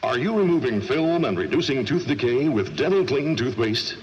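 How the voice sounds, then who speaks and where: Lively 1940s American radio ad man. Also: mid-range voice, normal speed, muffled recording. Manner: polished, persuasive sales delivery. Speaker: radio announcer. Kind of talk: 1940s radio advertising and station announcements. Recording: slightly noisy vintage radio.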